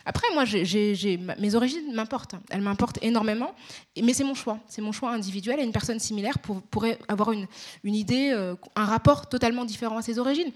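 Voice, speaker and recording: Young Parisian woman, measured and articulate. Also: on the high side. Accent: Parisian French. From Paris, France. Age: young adult. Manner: measured, articulate, frequent hesitations. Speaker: interviewee. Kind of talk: reflective interview answers.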